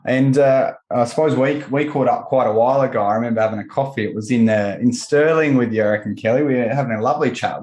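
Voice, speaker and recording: A man's voice: mid-range voice, a little mellow. A man hosting a podcast, moving between scripted introductions and conversational questions to guests. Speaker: podcast host. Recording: roomy, echoing voice recording.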